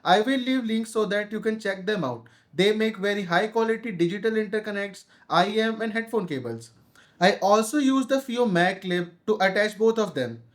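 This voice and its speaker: High-pitched Indian man reviewing audio gear. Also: expressive, normal speed. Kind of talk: scripted review narration.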